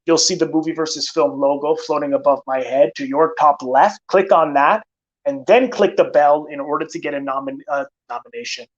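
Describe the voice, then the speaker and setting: A man's voice: on the high side, fairly bright tone, dull audio. A man hosting a live film channel stream, chatting casually with many fillers and restarts, tired and losing his voice.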